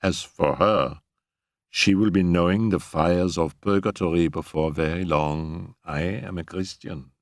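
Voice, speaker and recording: A man's voice: sits low, fairly even intonation, measured pace, dry recording, studio quality. A man voicing a resentful, pious servant woman in an audiobook, speaking in a smug sing-song. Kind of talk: audiobook character reading.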